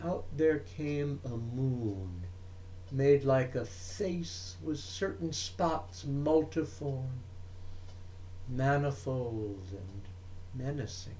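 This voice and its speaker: Man, mid-pitched voice, unhurried delivery, dramatic poetry recitation, emphatic phrasing. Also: expressive, warm tone, a little hiss or hum, low-quality recording. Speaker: poetry reader. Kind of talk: expressive dramatic poetry reading.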